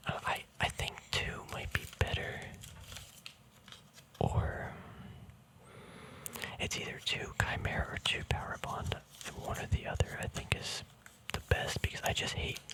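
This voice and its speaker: A man speaking in a breathy whisper in a casual, relaxed ASMR style, rambling through explanations and often correcting himself. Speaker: host of a hobby card-game ASMR video.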